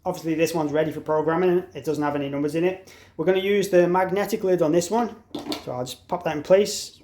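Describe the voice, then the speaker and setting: A man's voice: a little high, steady tone, fast talker, a little mellow, a little hiss or hum. A man giving an informal, explanatory hands-on product tutorial as an installer. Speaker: installer demonstrating an intercom product.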